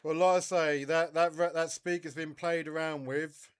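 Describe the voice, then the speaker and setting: Clear-voiced Englishman chatting on CB radio. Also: on the high side, level tone. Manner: halting, repeats words. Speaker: CB radio operator. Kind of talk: casual radio chat between hobbyists.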